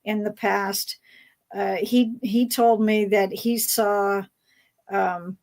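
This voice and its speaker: A woman's voice: medium pitch, steady tone, takes it slowly, a little mellow. A woman hosting a casual fan panel, talking in a hesitant, rambling way as she searches for words. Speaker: panel host.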